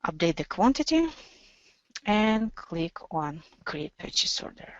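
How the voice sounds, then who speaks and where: A woman narrating an instructional software demo as a webinar presenter, explaining in a measured, step-by-step way. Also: on the low side, big pitch swings, dry recording, lo-fi sound.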